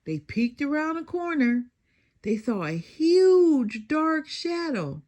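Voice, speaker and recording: Slow, expressive woman reading a storybook. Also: sits high. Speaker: children's storybook reader. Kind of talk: animated read-aloud narration.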